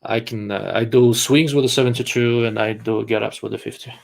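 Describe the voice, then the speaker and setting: A man's voice: medium pitch, fairly even intonation, fairly quick, gravelly. A man from Russia, hosting a live-stream chat in a rambling way, full of fillers and restarts. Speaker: host.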